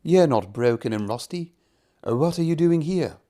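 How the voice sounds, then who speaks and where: A man's voice: on the high side, animated, moderate pace, warm tone, lightly acted. A man voicing a kind, determined old steam engine in a children's storybook, earnest and emphatic. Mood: curious. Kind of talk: children's storybook character reading.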